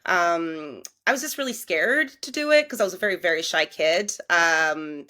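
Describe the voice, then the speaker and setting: A chatty young woman from Australia who talks with a bright, forceful tone on a remote video call. Her speech is loose and full of fillers, and she laughs often. She sounds easygoing and open. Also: lowish voice, animated, moderate pace. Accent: North American-sounding. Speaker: guest, actor and co-director. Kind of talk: casual interview chat.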